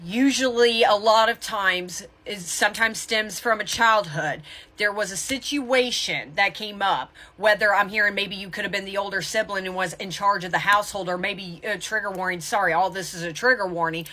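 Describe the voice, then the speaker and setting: A woman's voice: mid-range voice, normal speed, sharp tone. A woman giving motivational spiritual advice as a tarot reader, in a blunt, emphatic tough-love manner with casual swearing.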